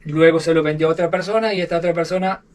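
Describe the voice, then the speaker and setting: A man with an Argentine accent telling stories as a podcast guest, talking in a halting way and repeating words. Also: on the high side, steady tone, far from the mic. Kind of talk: casual storytelling interview. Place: aboard a sailboat.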